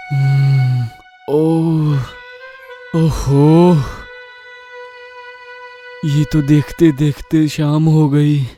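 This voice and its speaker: A man acts out a lazy village husband in a cartoon tale, henpecked but sly. His voice is fairly high and slow, with exaggerated exclamations, over faint music. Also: mellow. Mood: dejected. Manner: drawn-out exclamations, exaggerated storybook delivery. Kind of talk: Hindi children's cartoon story acting.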